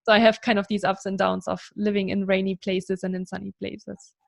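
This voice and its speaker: A German woman in her thirties talks through her career as an interview guest. Her English flows in a relaxed, chatty way, padded with "kind of" and "you know". Her voice sits at an ordinary middle pitch. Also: brisk, vocal fry. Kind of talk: casual interview conversation.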